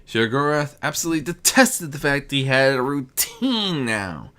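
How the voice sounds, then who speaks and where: A storyteller reading fiction aloud in an animated, swooping way, with occasional stumbles over the words. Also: mid-range voice, normal speed. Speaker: narrator. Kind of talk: scripted narration, fan fiction reading.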